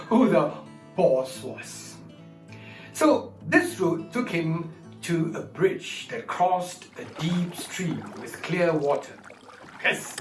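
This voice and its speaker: A man telling a story to an audience in a playful, lively manner, leading them in movement games before the tale. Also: a little high. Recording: echoey room, distant mic, guitar music.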